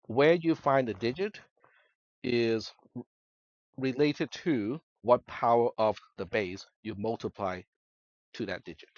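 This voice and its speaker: A man's voice: medium pitch, a little mellow, dry recording, muffled recording. A man whose first language is Cantonese, giving a classroom lecture in a conversational way and often checking in with the students. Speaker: college instructor.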